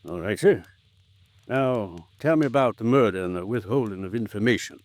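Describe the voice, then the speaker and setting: An American actor playing a courteous small-town attorney in a 1950s radio drama, asking measured, polite questions. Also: male voice, on the low side, animated, darkish tone, no echo, natural voice. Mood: probing, courteous.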